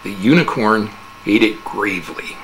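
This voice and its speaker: A man narrating a humorous fable as a dramatic oral interpretation, with theatrical, measured storytelling. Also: lowish voice, fairly bright tone, slight background noise, rough recording.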